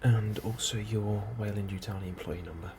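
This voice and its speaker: A man doing a soft, breathy ASMR role-play as an unhurried, politely understated officer interviewing a newcomer. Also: lowish voice. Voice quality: soft, breathy, often near whisper. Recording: steady ambient noise behind the voice. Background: computer and ambience sounds.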